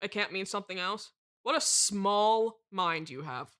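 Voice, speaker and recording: A woman playing a pompous, brooding horror novelist in a mock interview, melodramatic and condescending, pausing for effect. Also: mid-range voice, expressive, fairly bright tone. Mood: scornful, condescending. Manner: melodramatic, condescending, deliberate dramatic pauses. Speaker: interview guest.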